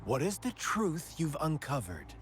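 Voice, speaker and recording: A man's voice: on the high side, huge pitch swings, background noise. Theatrical taunting male villain, wildly swooping voice. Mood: taunting, probing. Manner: theatrical, taunting, drawn-out dramatic delivery. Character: theatrical sinister male villain telling a twisted fairy tale. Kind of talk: video game character acting, storytelling monologue. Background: background music.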